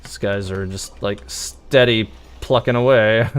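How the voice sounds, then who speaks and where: A man's voice: on the low side, slow, clean tone. A man giving casual, rambling live gameplay commentary, thinking aloud as he streams. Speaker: game livestreamer.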